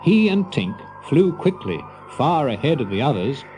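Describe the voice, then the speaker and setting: A man's voice: medium pitch, big pitch swings, moderate pace, warm tone, low-quality recording. A man narrating a children's adventure story in a dramatic, scripted storybook style. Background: music.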